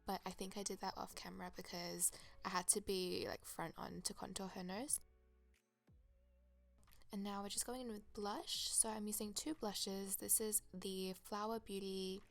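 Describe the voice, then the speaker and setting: A woman's voice: mid-range voice, gravelly. A young woman with a cold, her voice a bit crackly, chatting enthusiastically through a makeup tutorial voice-over. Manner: chatty, enthusiastic product recommendations. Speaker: makeup artist.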